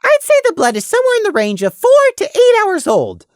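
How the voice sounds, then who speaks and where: A woman's voice: extremely high pitch, wildly swooping pitch, a little fast, no room sound, studio quality, voice pushed up, full character voice. A woman voicing a cautious, earnest young male pony with a careful, hesitant manner in an audio story.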